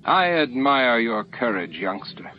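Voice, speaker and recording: A crafty old alien elder, voiced by a man in a sci-fi audiobook. He is courtly and persuasive, with a raised pitch. The recording is slightly muffled. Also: big pitch swings, measured pace. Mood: cheery.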